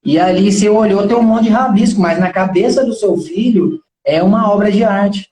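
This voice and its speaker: A man's voice: high-pitched, normal speed, roomy sound, a crowd in the background, rough recording. A man in his thirties with a Brazilian accent, giving an informal motivational talk in an earnest, preachy and colloquial way, often posing rhetorical questions.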